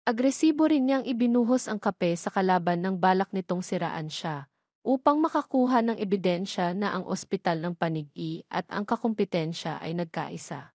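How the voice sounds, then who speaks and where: A woman's voice: medium pitch, lively intonation, normal speed, darkish tone, no echo, clean studio sound. A woman reading a scripted story as its narrator.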